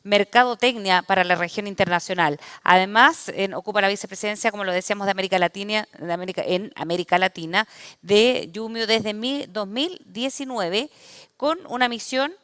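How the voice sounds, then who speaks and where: A woman's voice: medium pitch, fairly bright tone, clear, resonant tone, no room sound. A woman hosting a conference, introducing the next speaker with many restarts. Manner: halting, many fillers and restarts. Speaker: event host. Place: conference stage.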